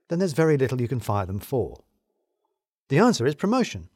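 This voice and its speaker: British guy reading a wry comedy story, very lively intonation, super dry recording. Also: medium pitch, no echo. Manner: wry, dry humour, emphatic phrasing. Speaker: narrator of an audio fiction serial. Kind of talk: scripted first-person comic narration.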